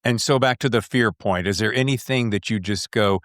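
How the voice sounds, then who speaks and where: Animated male podcast host, dry close sound. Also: lowish voice, a little fast, no room sound. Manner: animated, jokey, digressive, excitable. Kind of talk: casual conversational interview.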